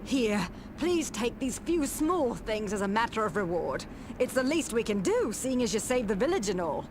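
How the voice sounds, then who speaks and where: High-voiced grateful innkeeper. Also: female voice, very animated, normal speed, background noise. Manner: earnest, effusive. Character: grateful, pious village innkeeper, humble and earnest. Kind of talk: video game dialogue acting.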